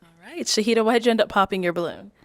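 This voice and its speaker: A woman hosting a dating game show, giving brisk directions and repeating set questions to contestants. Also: mid-range voice, creaky, dry recording.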